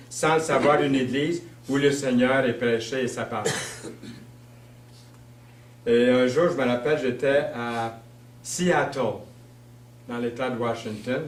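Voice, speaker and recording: Québécois preacher guy, chatty storytelling sermon, roomy echo, mic kinda far off. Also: medium pitch. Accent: Québécois French. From Quebec. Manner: conversational, storytelling, with fillers and slow emphasis. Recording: roomy sound, mic at a distance.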